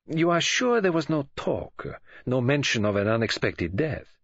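A man voicing a courteous older male detective in an audiobook, speaking formally, precisely and with deliberate care. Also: medium pitch, sing-song, no room sound, muffled recording, voice pushed up. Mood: probing. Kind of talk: audiobook character dialogue.

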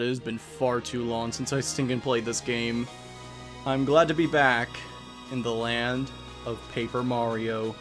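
High-pitched, enthusiastic young male gamer. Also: a bit bright. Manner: enthusiastic, jokey. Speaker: gaming host. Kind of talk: casual Let's Play commentary. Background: game music.

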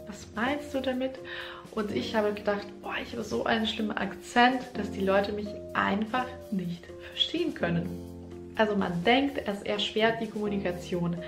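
A woman's voice: medium pitch, normal speed, echoey room, over music. Young woman, non-native German speaker. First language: not German. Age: young adult. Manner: earnest, slightly non-native phrasing, speaks with hands. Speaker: German teacher vlogger. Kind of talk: casual talk to camera. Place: small room, indoors. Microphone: camera in front, head-and-shoulders framing.

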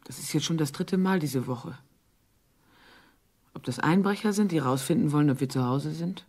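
A woman acting a tender, anxious wife and mother in a German radio play, with a husky, breathy voice. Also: low-pitched, moderate pace, darkish tone. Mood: uneasy.

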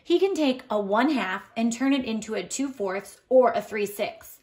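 A woman's voice: a little high, expressive, normal speed, a little mellow, close to an everyday voice. A woman voicing a knowing madame in a storybook, explaining things emphatically.

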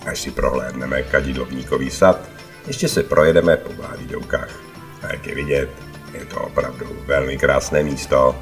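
A man's voice: a bass voice, soft background music. A man narrating a travel vlog from a prepared script, as a voice-over.

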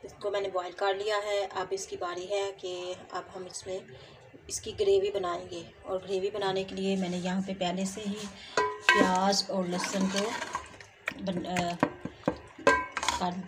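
Woman narrating a home cooking vlog. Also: mid-range voice. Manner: homely step-by-step narration while cooking. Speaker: cooking vlogger. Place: home kitchen. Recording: loud kitchen noise. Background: frying, sizzling and stirring sounds.

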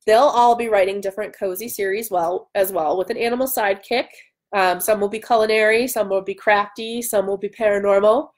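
A woman in her thirties talks casually to viewers on a livestream. She is chatty and enthusiastic, at a middle pitch, and happy to poke fun at herself. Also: fairly even intonation, fairly quick. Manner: chatty, enthusiastic, self-deprecating. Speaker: author hosting a livestream. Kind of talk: casual livestream chat answering viewer comments. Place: indoor room at home by a window.

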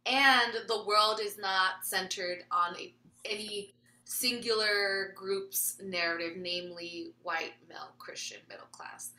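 A woman's voice: medium pitch, reverberant. A young woman speaking animatedly as a panel guest on a video call, gesturing as she talks. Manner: animated, talks with her hands. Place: small room indoors.

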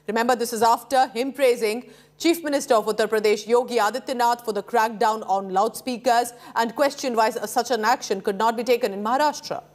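A woman's voice: fairly high pitch, steady tone, fairly quick. A woman in her thirties with an Indian accent, presenting television news from a studio. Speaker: news anchor.

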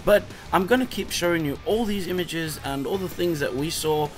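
A man in his thirties narrating a vlog in a relaxed, rambling, easygoing way. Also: on the high side, normal speed. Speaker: vlogger and hobby shop owner. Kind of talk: casual vlog voice-over. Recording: voice-over with music underneath. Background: background music.

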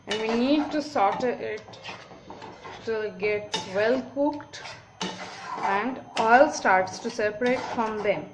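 A woman whose first language is Bengali, calmly walking through a home cooking tutorial with frequent "okay, so" fillers, at her kitchen stove. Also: high voice. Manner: calm, instructive, many "okay, so" fillers. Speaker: cooking video host. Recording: home recording with clear kitchen noise. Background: clinking pots, pans and cutlery.

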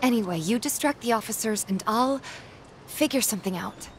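A woman's voice: a little high, expressive, fairly quick, a little hiss or hum. An actress playing a kind, upbeat and protective young woman from the slums in a video game, with an American accent and music underneath. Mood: worried. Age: young adult. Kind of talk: video game cutscene acting.

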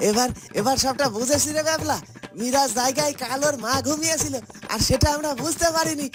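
A man's voice: extremely high pitch, a little fast, over music. A man voicing a bumbling, lovestruck crook in a comic cartoon, exclaiming and repeating himself in a fluster. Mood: flustered, alarmed. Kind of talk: Bengali comic cartoon acting.

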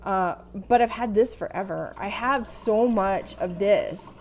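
A middle-aged woman talks at an unhurried pace in her home kitchen, speaking into an earphone mic with her phone held close. She chats casually, repeats herself and keeps checking in with "right?", her voice sitting at an ordinary middle pitch. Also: a little hiss or hum. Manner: chatty, repeats phrases, frequent "right?". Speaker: vlogger. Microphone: earphone headset mic, phone held close.

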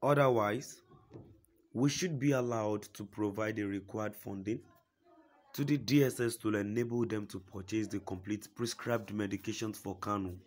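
A man reading a news report aloud in a steady, formal way, quoting a statement at length. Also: fairly low pitch, moderate pace. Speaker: news reader.

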